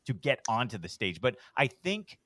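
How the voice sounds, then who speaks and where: A middle-aged man with a deep voice, telling a story casually on a podcast. He rambles and pokes fun at himself in an easygoing way. The sound is dry and close, with no room in it. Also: normal speed, dry recording. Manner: relaxed, rambling, self-deprecating. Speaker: podcast host, guest.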